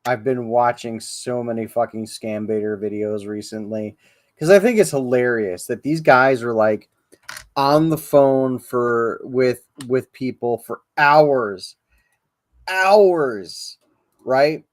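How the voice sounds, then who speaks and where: A man's voice: medium pitch, slow, dry recording, clean studio sound. A man hosting a casual livestream podcast, rambling and profane, with many ums and restarts. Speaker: podcast host. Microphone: livestream podcast mic.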